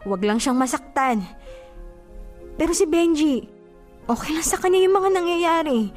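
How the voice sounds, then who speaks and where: Jealous, hurt young Filipina, lively pitch. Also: female voice, normal speed, faint music behind. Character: jealous, hurt young woman confiding about her boyfriend. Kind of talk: Filipino radio drama acting.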